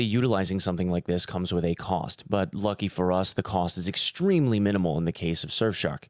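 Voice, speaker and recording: A man's voice: fairly low pitch, no echo, clean studio sound. A man hosting a gaming news video in a chatty, informal way with playful emphasis. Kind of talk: casual news commentary with sponsor read.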